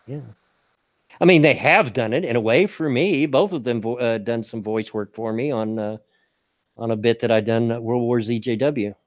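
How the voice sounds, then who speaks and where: A man's voice: mid-range voice, moderate pace, dry recording. This is an older man with a scratchy voice and a mixed Hoosier-Kentucky accent. He chats loosely and laughs easily on a stream, his voice close and dry. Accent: Southern Indiana and Kentucky. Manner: laughs often, folksy. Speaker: show host. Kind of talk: casual stream chat.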